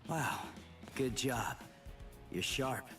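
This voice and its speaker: A man's voice: medium pitch, expressive, extremely slow, fairly bright tone, distant mic, soft background music, full character voice. An actor playing a blunt, hot-tempered, sarcastic teenage boy hero in an English anime game dub. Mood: wry. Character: brash, hot-tempered teenage substitute soul reaper.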